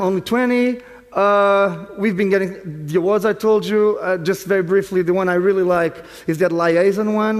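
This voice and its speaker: Man presenting in a hall. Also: a little high. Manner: explanatory, repeats "okay?" to check listeners. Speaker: conference presenter. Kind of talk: conference presentation. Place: conference hall. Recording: reverberant conference hall.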